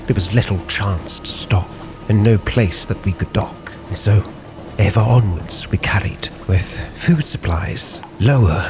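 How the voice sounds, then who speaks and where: A man playing a grave, driven Victorian sea captain, solemnly narrating his log with theatrical, weighty pauses over music. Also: deep voice, rough recording. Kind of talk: dramatic scripted storytelling, ship's log in character.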